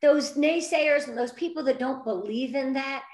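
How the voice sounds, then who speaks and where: A woman's voice: high-pitched, moderate pace, darkish tone, roomy sound. A woman in her fifties, an interview guest, talking in an effusive, earnest way with nervous energy. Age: 50s. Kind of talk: emotional heartfelt interview.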